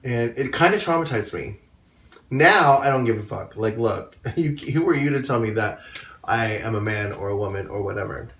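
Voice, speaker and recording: A drag pageant performer talking straight to camera about a pageant, in a low baritone, candid and chatty with quick asides. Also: male voice, lively intonation, a bit bright, roomy sound, recorded from a distance. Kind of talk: casual talking-head recap. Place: indoor room.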